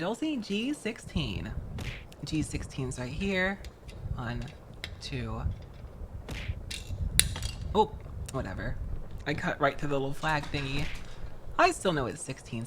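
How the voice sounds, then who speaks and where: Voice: medium pitch, big pitch swings, measured pace, pauses often, a bit bright, slight background noise. A streamer chatting casually with viewers while building a model kit, rambling from one thought to the next and greeting people by name. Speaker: VTuber streamer.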